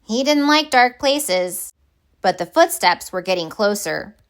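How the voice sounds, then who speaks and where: Slow, deliberate woman reading a storybook. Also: mid-range voice, expressive, clear, resonant tone. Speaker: storybook reader. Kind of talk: children's read-aloud narration.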